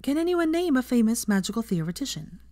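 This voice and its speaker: A woman voicing a young female teacher who lectures a class in a brisk, earnest, encouraging way with projected questions. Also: a little high, sing-song, mellow, no room sound, studio quality, pitched above the performer's own voice. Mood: brisk, instructive.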